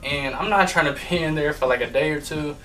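A young man co-hosting a casual couple's vlog from a bedroom, chatting to viewers in a relaxed, laid-back way. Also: fairly high pitch, fairly quick, recorded from a distance, over music, poor audio. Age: young adult. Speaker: vlog co-host. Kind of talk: casual chat. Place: bedroom, indoors.

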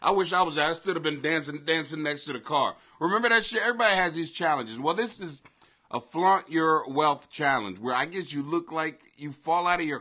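Man, 40s, live webcam host, basement at home, bright ringing voice, crude loose riffing. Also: fairly high pitch. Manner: loose, swearing, loud bursts and laughing asides. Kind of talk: casual profane live commentary. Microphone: webcam at desk.

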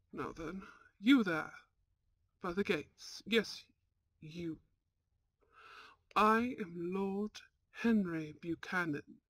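Young nobleman voiced by a woman, haughty yet kind, unhurried formal delivery, dry intimate ASMR roleplay sound. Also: lowish voice, a bit bright, dry recording. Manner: formal, self-assured, teasing. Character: suave, haughty young male lord, kind at heart.

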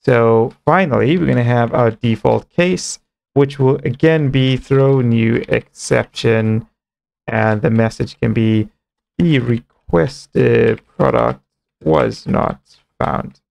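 A man's voice: mid-range voice, slow pace, creaky, darkish tone. A man in his thirties giving an informal step-by-step coding tutorial, thinking aloud, on a close headset mic. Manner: step-by-step explaining, often starting with okay and cool. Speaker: programming tutorial presenter.

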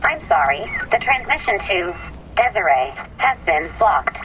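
A woman's voice: mid-range voice, big pitch swings, a little slow, a bit bright, lo-fi sound, a little hiss or hum. A woman playing a literal-minded robotic assistant in a video game, polite, formal and stilted, with processed robotic sound. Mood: apologetic, impassive.

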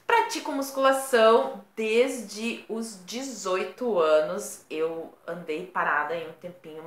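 A woman's voice: medium pitch, bright, forceful tone, roomy sound. A woman in her thirties with a Rio Grande do Sul Brazilian accent, chatting playfully and expressively to camera in a small room. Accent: Rio Grande do Sul Brazilian Portuguese. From born in São Paulo, raised in Rio Grande do Sul. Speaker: YouTuber. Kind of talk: casual vlog to camera. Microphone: camera at close range.

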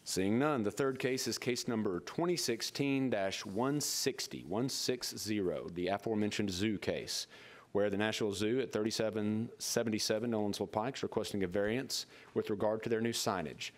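A man's voice: on the low side, moderate pace. A middle-aged man speaking formally as a board official at a public meeting, reading procedure into a podium microphone. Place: indoor meeting room.

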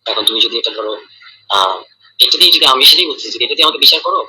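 A man with a Bangladeshi accent in a private, casual phone call, speaking in a hesitant, halting and defensive way on a tinny recording. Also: medium pitch, animated, sharp tone, a little hiss or hum. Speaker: caller in a private phone conversation.

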